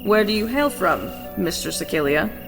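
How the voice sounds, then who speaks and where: A man playing a starving human outlaw in a fantasy audio drama, wary and desperate, speaking slowly and haltingly. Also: high voice, very animated, vocal fry, in a large hall, music in the background, heavily acted. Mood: cautious, pleading. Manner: slow, hesitant, halting.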